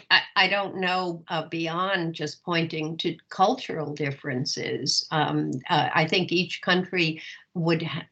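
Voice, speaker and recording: An older American woman, a professor speaking off the cuff in an academic discussion, thoughtful and halting as she searches for words. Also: on the low side, a bit bright. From United States. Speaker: professor teaching a masterclass.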